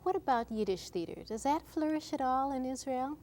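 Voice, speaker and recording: A woman's voice: high-pitched, expressive, brisk, darkish tone, a little hiss or hum. Attentive woman hosting an interview. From Chicago. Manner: attentive, frequent affirmations. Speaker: interview host. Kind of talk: interview conversation.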